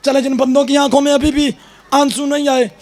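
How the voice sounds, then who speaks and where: A man giving a mourning recitation at a gathering, half-singing and shouting his lament. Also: very high-pitched, level tone, brisk. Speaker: majlis reciter.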